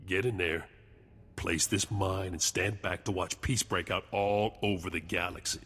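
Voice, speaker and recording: A world-weary intelligence agent from a space adventure game. His low voice has a hard, forceful edge and a clipped delivery. It rings with long reverb. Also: steady tone, a little slow, husky, full character voice. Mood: warning. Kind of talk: video game dialogue.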